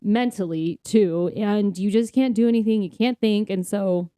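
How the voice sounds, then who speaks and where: A woman's voice: medium pitch, normal speed, dark tone, smooth, dry recording, studio quality. A woman co-hosting a podcast in casual chat, with an upbeat manner and a readiness to laugh as she talks. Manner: upbeat, laughs often while talking. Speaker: podcast co-host.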